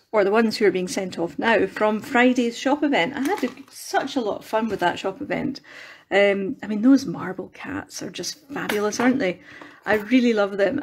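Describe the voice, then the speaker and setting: A Scottish woman chatting away on a phone live stream as she crafts, rambling, restarting her sentences and poking fun at herself. Her voice sits a bit high and sounds slightly croaky. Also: a little hiss or hum. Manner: chatty, rambling, self-deprecating, many restarts. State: a little croaky from singing. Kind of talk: casual live-stream chat while crafting.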